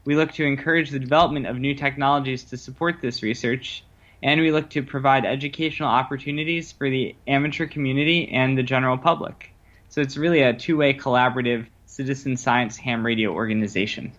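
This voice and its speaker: A man with a mid-pitched voice comes through slightly muffled, band-limited call audio. He speaks as an expert guest in a radio interview, explaining things earnestly in measured, conversational phrases. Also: steady tone, a bit bright. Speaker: guest researcher and ham radio operator.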